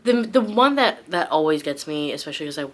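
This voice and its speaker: Low-pitched young woman chatting casually. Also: expressive, fast talker. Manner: rambling, informal, frequent "like". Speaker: book vlogger. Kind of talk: casual unscripted chat.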